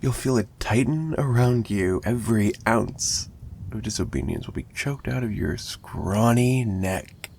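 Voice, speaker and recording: A man's voice: fairly low pitch, a little slow, gravelly, darkish tone. A man playing a possessive, menacing mafia boss in an ASMR roleplay, taunting the listener with theatrical mock sweetness and threats. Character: possessive, menacing young male mafia boss, obsessive kidnapper. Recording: noticeable background noise.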